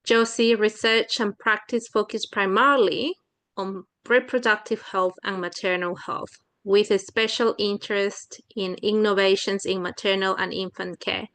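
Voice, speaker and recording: A woman acting as session facilitator on a video call, reading out warm introductions of the speakers. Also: medium pitch, fairly even intonation, moderate pace.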